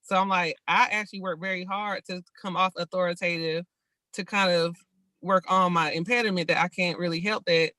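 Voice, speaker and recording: A woman's voice: lowish voice, steady tone, brisk, pushed and bright, no room sound. Woman from california, earnest and thoughtful, book club video call, dry close sound. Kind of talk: casual group discussion.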